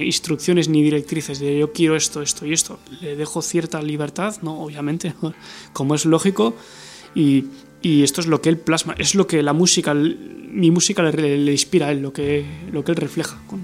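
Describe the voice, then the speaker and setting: A middle-aged musician from Bilbao, answering interview questions thoughtfully, with many fillers and tag questions. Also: male voice, on the high side. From Bilbao, Spain. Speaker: musician guest.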